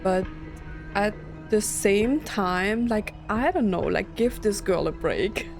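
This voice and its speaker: A woman's voice: mid-range voice, lively intonation, takes it slowly, gravelly, darkish tone. A woman doing let's play commentary, thinking aloud in disbelief and reading game text out. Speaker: gaming streamer. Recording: game music behind the voice. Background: game music and game dialogue.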